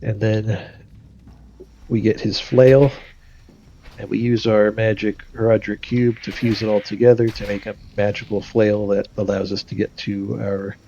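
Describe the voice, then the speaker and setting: A man streaming a video game, talking through his choices with plenty of "uh" and "um". His voice sounds nasal and stuffy from a cold. It sits fairly low with a gravelly edge, and a faint hiss runs behind him. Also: flat delivery, a little slow, warm tone, highs cut off. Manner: explains decisions thinking aloud, many fillers. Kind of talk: casual gameplay commentary.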